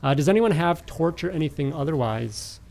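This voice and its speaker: A man narrating as game master of a tabletop game, improvising with halting, hesitant phrasing. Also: on the high side, lively intonation, creaky, a little mellow.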